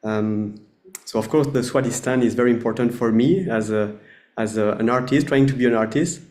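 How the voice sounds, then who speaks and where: A man presenting a meditation lesson over a video call, explaining in quick, friendly terms with some fillers. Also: medium pitch, a little mellow, reverberant.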